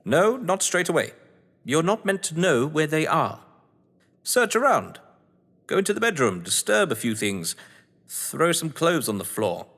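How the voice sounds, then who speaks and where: An actor playing a scheming, theatrical English crime novelist in an audio drama, pompous, arch and bossy, with an English accent. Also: male voice, a little high, sing-song, a little slow, fairly bright tone, heavily acted. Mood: exasperated, bossy. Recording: long hall-like reverb.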